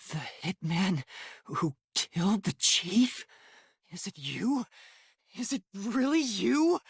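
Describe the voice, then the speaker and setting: A soft, breathy boyish video game detective, earnest and timid, with a polite, hesitant way of speaking. His pitch swings widely from line to line. The recording is very dry and close. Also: no echo, exaggerated voice. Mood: accusing, urgent. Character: earnest, timid young male detective trainee. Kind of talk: English video game voice acting.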